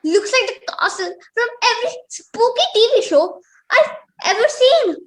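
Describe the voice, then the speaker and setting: Radio play role: a performer as an uneasy, imaginative little boy, very high-pitched, reluctant and protesting. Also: expressive, normal speed, fairly bright tone. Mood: uneasy, awed. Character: nervous, imaginative young boy, reluctant and suspicious. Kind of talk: amateur radio play acting.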